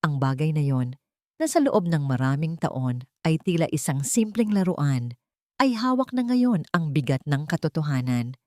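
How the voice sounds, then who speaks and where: A woman's voice: deep voice, sing-song, normal speed, darkish tone, dry recording, clean studio sound. A woman narrating a scripted audio drama in the first person, in a dramatic storytelling style.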